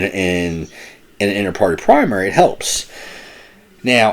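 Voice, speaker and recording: A man with a low voice that swings widely in pitch as he talks. He gives casual, opinionated political commentary, stumbling and restarting his phrases often. His voice sounds slightly hoarse. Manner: animated, opinionated, with frequent restarts.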